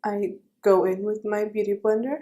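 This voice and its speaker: A young woman with a raspy voice giving a chatty makeup tutorial, correcting herself and offering friendly advice. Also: medium pitch, little pitch movement, moderate pace, mellow. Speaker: beauty vlogger.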